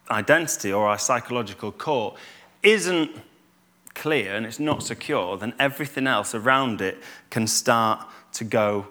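Calm man giving a church talk. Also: mid-range voice. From Yorkshire, England. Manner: calm, conversational with fillers and restarts. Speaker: church speaker.

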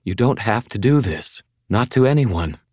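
Male reader as scared woman, raised pitch, thready, breathy, pleading, timid yet indignant, audiobook character. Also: expressive, moderate pace, darkish tone, no room sound, studio quality, close to an everyday voice.

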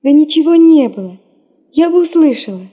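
An actress in a radio play playing an affectionate teenage granddaughter who gently teases her grandfather. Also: animated, moderate pace, highs cut off. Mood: calm. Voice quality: high, soft. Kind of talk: Russian radio play acting.

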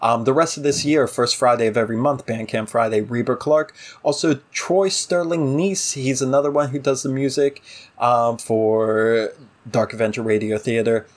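A male podcast co-host talks casually about a TV show. His voice sits at an average pitch for a man. He states his views emphatically and with clear opinion. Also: fairly even intonation, normal speed. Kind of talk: casual TV episode discussion.

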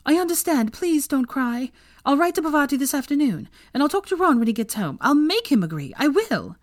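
A woman's voice: a little high, sing-song, normal speed, dark tone, no room sound, clean studio sound, pitched above the performer's own voice. A woman voicing a nervous, guilty young woman who pleads and flusters easily in a storybook reading. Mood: panicked.

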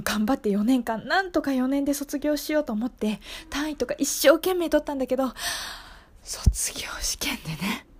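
A woman's voice: sits high, darkish tone, pitched above the performer's own voice. A woman performing an improvised radio monologue as a flustered young woman, confiding anxiously with sighs and urgent repetition. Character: flustered woman anxiously recalling a graduation exam.

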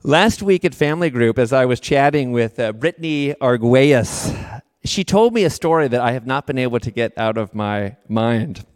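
A male pastor giving a sermon, earnest and building repeated images with slow, emphatic phrases. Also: medium pitch. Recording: long hall reverb.

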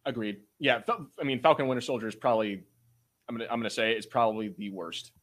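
A male podcast host in relaxed conversation. He talks informally, leaning on slang and the occasional swear word. The delivery is off-the-cuff banter between co-hosts. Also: medium pitch, quick pace.

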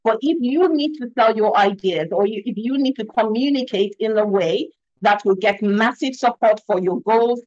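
A woman with a Nigerian accent makes her points with earnest emphasis and checks in with a quick "yeah?" as she goes. Her voice sits at a middling pitch. It comes through a video call and sounds dry and a little muffled. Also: no echo. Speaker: communication expert guest. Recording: dull, slightly lo-fi dry sound.

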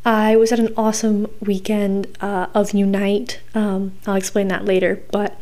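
A young woman with a hoarse, worn-out voice, hosting a podcast in a rambling, chatty way full of fillers. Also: medium pitch, little pitch movement, moderate pace, warm tone. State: hoarse, recovering from losing her voice. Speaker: podcast host. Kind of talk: casual solo podcast chat.

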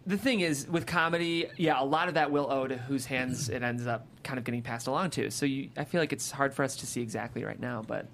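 A man's voice: medium pitch, a little fast, few pauses, fairly bright tone. A man hosting a casual panel discussion, talking in a hedging, conversational way. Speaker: panel show host. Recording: clear background room noise.

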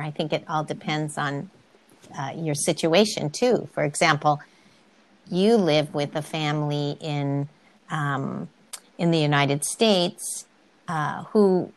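A woman interviewing a student, speaking calmly in a conversational tone and sharing memories from her own youth. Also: deep voice. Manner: calm, conversational, telling a personal story. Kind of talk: casual conversation.

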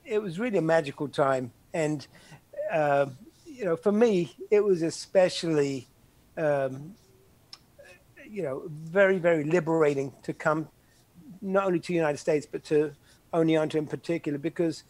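An older man, a former soccer player recalling his college years in a halting, reflective interview. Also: a little high, darkish tone, no echo, poor audio. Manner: halting, many restarts and "you know".

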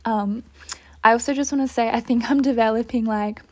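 A woman's voice: a little high, steady tone, fairly quick. A young woman hosting a solo podcast, earnest and upbeat, her throat a little dry. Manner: earnest, upbeat, with light laughs. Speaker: podcast host.

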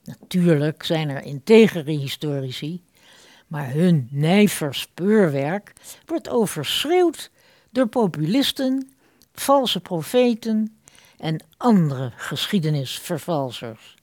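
Slow, expressive woman presenting radio drama. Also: sits low, no echo, studio quality. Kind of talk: scripted, theatrical introduction.

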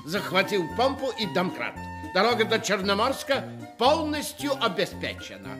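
Eager dutiful car mechanic guy, radio play, high voice, clipped reporting, music behind. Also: big pitch swings, moderate pace. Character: dutiful, earnest male driver-mechanic. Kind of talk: Soviet radio play acting.

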